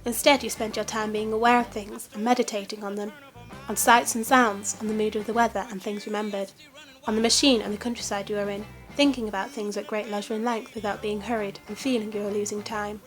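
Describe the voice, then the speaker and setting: A woman reading reflective travel prose aloud in a measured way, with soft music behind. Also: medium pitch, level tone. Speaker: narrator.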